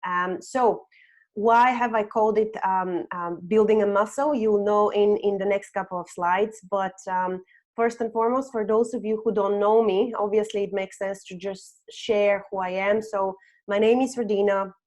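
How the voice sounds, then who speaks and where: A woman's voice: medium pitch, fairly even intonation, moderate pace, darkish tone. A woman in her thirties, originally from Bulgaria, presenting a webinar with slides and often adding "um" and "okay?". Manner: frequent "um" and "okay?" tags. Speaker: webinar host, HR consultant.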